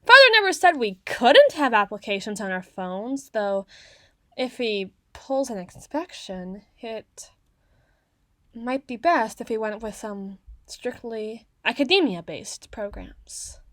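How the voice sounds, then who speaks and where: A woman acts out a socially awkward, bookish tsundere girl in her young adulthood for an ASMR roleplay. Her voice sits fairly high and her phrasing is wordy and halting, easily flustered. The sound is very dry and close, with no room echo. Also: expressive, sharp tone, full character voice. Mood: anxious, hesitant. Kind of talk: ASMR roleplay, acted monologue to the listener.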